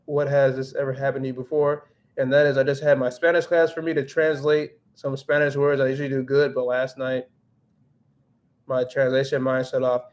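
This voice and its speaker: A young man hosting a casual livestream, chatting loosely, with many restarts and fillers. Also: a little high. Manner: rambling with frequent restarts and "like". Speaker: livestream host reading chat. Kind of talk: casual livestream chat, mixing English and Spanish.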